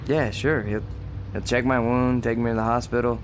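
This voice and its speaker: An actor playing a wounded, polite and earnest police officer in a radio drama, speaking haltingly and weakly. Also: male voice, mid-range voice, fairly even intonation, a little hiss or hum, lightly acted. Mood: puzzled. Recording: radio drama with music underneath.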